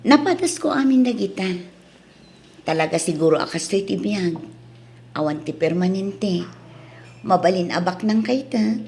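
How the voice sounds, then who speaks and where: A woman acts out a hurt yet forgiving Ilocano woman in a radio drama. Her voice is dark and mellow at a middling pitch, over clearly noisy ambience. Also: expressive, normal speed, pauses often, lightly acted. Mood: resolute, earnest.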